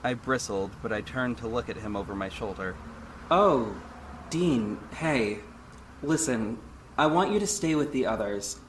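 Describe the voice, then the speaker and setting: An actor playing an earnest superhero who narrates his own story in a measured, serious audio drama style. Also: mid-range voice, animated, slight background noise, natural voice, rough recording. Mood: guarded. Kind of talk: scripted first-person audio drama narration.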